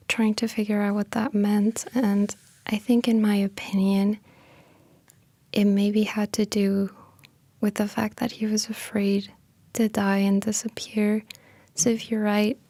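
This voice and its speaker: A woman speaking softly in a breathy half-whisper, gentle and unhurried, in an intimate ASMR style close to the microphone. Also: medium pitch, no echo. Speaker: ASMR creator and host. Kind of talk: soft-spoken ASMR, casual explaining and poetry reading.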